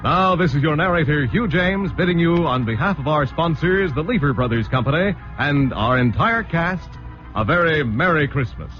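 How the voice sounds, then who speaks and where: Lively old-time radio announcer man. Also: a little high, normal speed. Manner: polished, lively announcer delivery. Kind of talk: scripted announcing. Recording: dull old radio broadcast over music.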